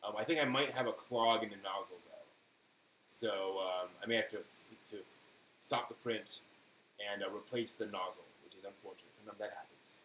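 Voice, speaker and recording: A man's voice: lowish voice, brisk, bright, forceful tone. Man chatting in an echoey room. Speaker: live stream host. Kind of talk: casual live stream chat, replying to viewers. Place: indoor room at home with printer running. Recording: roomy echo, faint buzzing 3D printer noise. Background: faint buzz of a 3D printer.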